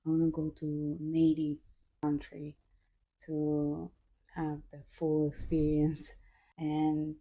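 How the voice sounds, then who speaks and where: A young woman from Kazakhstan, a podcast guest telling her story in a halting way with fillers. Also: deep voice, monotone, dark tone, poor audio. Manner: halting, with fillers and frequent laughs. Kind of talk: casual interview answers.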